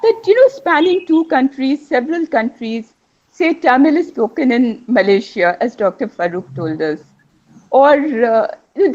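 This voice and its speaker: A woman's voice: a little high, darkish tone, dull audio. A woman with a Bengali mother tongue, speaking as a panelist in a literary discussion, enthusiastic and rambling, often correcting herself mid-phrase.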